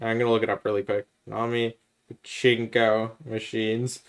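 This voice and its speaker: A man's voice: on the low side, little pitch movement, normal speed. A man co-hosting a podcast, chatting casually and giving his opinions on video games in an informal way. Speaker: podcast co-host.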